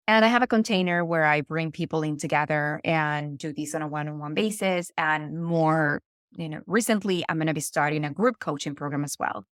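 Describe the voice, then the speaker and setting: A woman's voice: low-pitched, dry recording, studio quality. A middle-aged woman from Venezuela with a Venezuelan Spanish accent, talking earnestly as a podcast guest and often restarting her phrases. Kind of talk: conversational interview.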